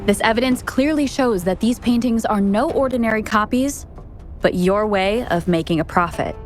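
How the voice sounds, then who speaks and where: An actress playing a cool, assertive strategist in a video game, with a deliberate, assured manner. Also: female voice, mid-range voice, faint music behind, lightly acted. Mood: accusing.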